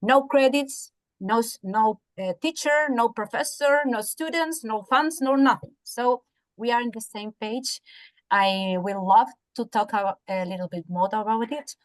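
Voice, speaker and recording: A woman from Chile with a Chilean accent and native Spanish, giving halting feedback as a university educator on a video call, searching for words. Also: fairly high pitch, poor audio. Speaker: university educator, audience member commenting. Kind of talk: spoken feedback in an online academic discussion.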